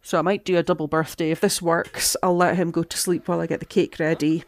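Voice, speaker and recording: A woman, chatting through a live-streamed game, a little hoarse and talking to her characters. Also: lowish voice, flat delivery, quick pace. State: slightly hoarse, losing her voice. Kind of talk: live-stream gameplay commentary.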